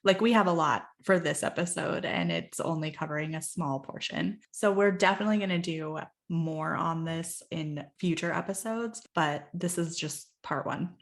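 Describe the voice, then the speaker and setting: A woman co-hosting a podcast, chatty and quick with frequent laughs, her nose stuffed up from allergies. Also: deep voice. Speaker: podcast co-host. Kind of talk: casual chat and informal explainer.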